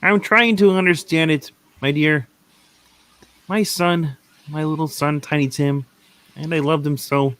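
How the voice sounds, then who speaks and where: A man playing a gentle grieving father in a radio play reading, speaking tenderly and earnestly. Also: a little high, fairly even intonation, a little slow, long pauses.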